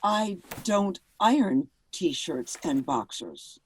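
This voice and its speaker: A woman's voice: on the low side, sing-song, drawn-out words, darkish tone, slight background noise, exaggerated voice. A woman acting in a radio play as an exasperated, blunt wife and mother with a curt, pointed manner. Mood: dry, defensive.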